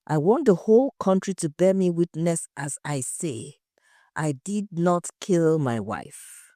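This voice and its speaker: A woman's voice: lowish voice, animated, unhurried, mellow, no echo, studio quality. A woman voicing an anxious, indignant husband accused of murder in an audio story, emphatic and defensive. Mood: pleading, earnest.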